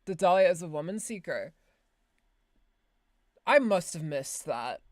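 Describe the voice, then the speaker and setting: An Irish woman, a voice actor, chatting casually on a stream with quick self-mocking asides and laughs. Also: on the low side, expressive, no room sound, clean studio sound. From Ireland. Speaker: voice actor and streamer reacting to a game video. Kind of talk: casual chat.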